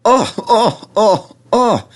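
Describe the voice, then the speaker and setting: A man's voice: sits high, sing-song, extremely slow, pitched above the performer's own voice, heavily acted. A man voicing silly, panicky railway trucks in a storybook, wailing in alarm. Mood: panicked.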